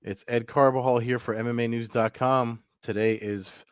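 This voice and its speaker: A man's voice: mid-range voice, steady tone, normal speed, clean tone, no echo. A man hosting a casual sports news video, slightly congested from a cold and rambling with lots of fillers. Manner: rambling, many fillers and restarts. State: congested, getting over a cold. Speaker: MMA news host. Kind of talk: casual solo commentary to camera. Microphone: camera mic.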